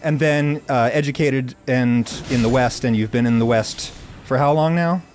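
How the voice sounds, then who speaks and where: A man's voice: mid-range voice, normal speed, a little mellow, slight background noise. An American man hosting a podcast interview, thinking aloud with a lot of ums and uhs. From United States. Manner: rambling, thinking aloud with many fillers. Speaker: podcast host.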